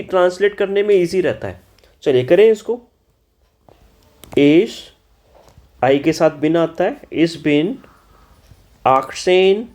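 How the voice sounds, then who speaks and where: Guy teaching german in hindi, high pitched but mellow, goes slow on each german word, scolds students for dumb mistakes. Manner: spells out German words slowly. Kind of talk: exam-solution lesson in Hindi.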